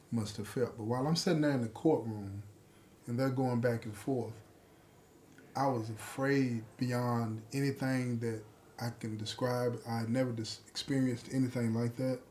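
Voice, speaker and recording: Mellow-voiced man, halting reflective storytelling. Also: medium pitch. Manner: halting, deliberate phrasing with pauses. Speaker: prisoner telling his own story. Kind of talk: personal testimony, reflective storytelling.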